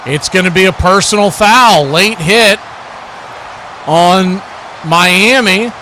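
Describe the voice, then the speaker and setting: American man, fairly high voice, football play-by-play, clipped phrases, clear background noise. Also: slow pace, bright, forceful tone. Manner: clipped short phrases calling each action. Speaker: play-by-play announcer for an online watch party stream.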